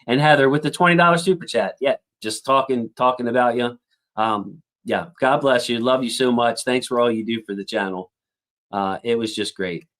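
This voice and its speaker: Chatty man hosting a football livestream. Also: moderate pace. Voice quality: self-described squeaky voice. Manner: chatty, warm toward viewers, thinks aloud with fillers. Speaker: football channel host reading live chat. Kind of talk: casual livestream commentary.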